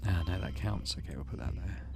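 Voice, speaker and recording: A man with a fairly low voice hosts a video game let's play. He talks himself through the game and reads its text aloud. There is clear noise behind his voice. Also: lively intonation, brisk. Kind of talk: gameplay commentary and reading game text aloud.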